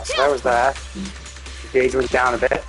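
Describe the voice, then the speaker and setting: A man's voice: medium pitch, monotone, a little slow, fairly bright tone, soft background music. A man giving casual live game commentary, thinking aloud as he explains things, with some mild swearing. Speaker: game streamer.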